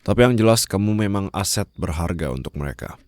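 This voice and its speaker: A man's voice: lively intonation, fast talker, darkish tone, no echo. A man playing a cold, menacing mafia boss in an ASMR roleplay, speaking formally and condescendingly to a captive, with mocking sweetness, close on the microphone. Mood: stern, accusing. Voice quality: very low, gravelly, husky. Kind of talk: ASMR roleplay acting, addressed to the listener. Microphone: close studio-style microphone.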